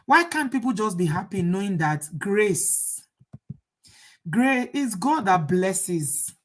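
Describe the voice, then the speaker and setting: A woman's voice: medium pitch, very animated, slow. A middle-aged Nigerian woman hosting a casual live chat, reading viewer comments and asking rhetorical questions. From Nigeria, Edo State. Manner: chatty, rhetorical, laughs at the topic.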